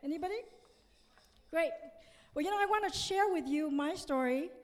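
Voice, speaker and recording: A woman in her fifties with a Vietnamese accent delivers a motivational conference talk in a high voice. She is earnest and speaks directly to her listeners, and the words ring through a large, reverberant hall. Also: moderate pace. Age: 50s. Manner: earnest, addresses the audience with questions. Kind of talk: motivational conference speech with personal story.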